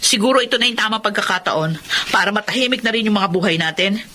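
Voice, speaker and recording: A woman's voice: medium pitch, quick pace, sharp tone, a little hiss or hum, natural voice. A Filipino woman playing an aggrieved but loving wife in an emotional, emphatic radio drama style. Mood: hurt, resolute. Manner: exasperated, emphatic. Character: aggrieved wife leaving her husband, still loving him.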